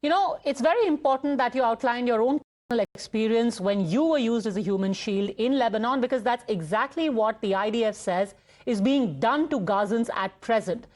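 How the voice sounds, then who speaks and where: A woman news anchor puts questions to a guest in a television interview. Her voice sits slightly high, and she speaks in a measured, formal way. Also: expressive, darkish tone.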